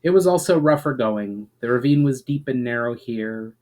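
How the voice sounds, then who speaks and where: Man giving an expressive, measured reading. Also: medium pitch. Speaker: author and channel host reading his own book. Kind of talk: dramatic storybook reading of fantasy prose.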